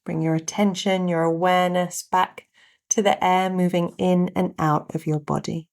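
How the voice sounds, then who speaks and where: A woman guiding a meditation, giving gentle instructions in short phrases with pauses between them. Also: lowish voice, little pitch movement. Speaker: meditation guide. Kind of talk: guided meditation narration.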